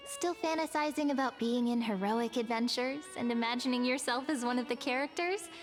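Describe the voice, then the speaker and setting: Actress as teasing childhood friend, young village girl; high, sweetly playful game voice, music underneath. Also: close to an everyday voice. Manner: teasing, giggly, gently scolding. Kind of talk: anime-style video game acting.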